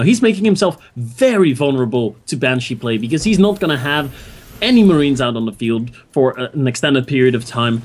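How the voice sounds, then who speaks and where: A man casting a video game match, introducing players and thinking aloud about strategy with easy enthusiasm. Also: mid-range voice. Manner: excitable, laughs often, thinks aloud. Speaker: esports caster. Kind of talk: esports play-by-play commentary.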